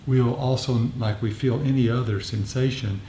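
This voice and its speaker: A man's voice: medium pitch, fairly even intonation, normal speed, mellow. A man leading an informal meditation group discussion, explaining reflectively and often repeating his phrases. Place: meditation group meeting room. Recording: echoey room, faint background noise.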